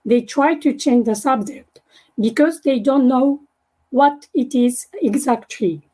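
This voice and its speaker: A young woman with a Japanese accent, a native Japanese speaker, giving an academic presentation online and haltingly searching for words. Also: high voice, warm tone. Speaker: guest speaker, graduate student. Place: online video meeting.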